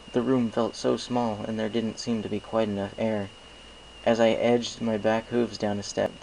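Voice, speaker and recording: Amateur male audiobook narrator: first-person fiction, lowish creaky voice, occasional rereads, faint noise. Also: darkish tone, poor audio.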